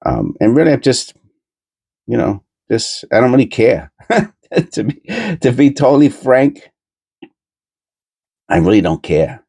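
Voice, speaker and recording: A man's voice: medium pitch, sing-song, unhurried, vocal fry, long pauses, a little mellow, slightly rough, no room sound, studio quality. A middle-aged man with a New York accent, nasal from a cold, rambling bluntly with many fillers as host of a coin channel. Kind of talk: rambling casual monologue.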